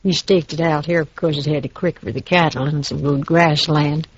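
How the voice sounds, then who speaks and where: A woman's voice: sits low, steady tone, moderate pace, husky. A woman with a rural American accent playing a stubborn, feisty frontier widow in a 1950s Western radio drama, on a muffled vintage recording. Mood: proud, wistful.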